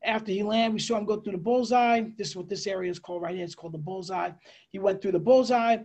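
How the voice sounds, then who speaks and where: A middle-aged man with an American accent explains slides as an air traffic controller, conversational and a bit halting, with pauses and asides. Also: high voice, darkish tone. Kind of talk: informal slide presentation, explanatory. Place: indoors, on a video call.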